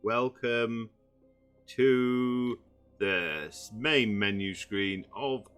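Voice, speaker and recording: A man, croaky from just waking up, rambling through casual gameplay commentary with many fillers. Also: medium pitch, extremely slow, a bit bright, faint music behind. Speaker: gaming channel host.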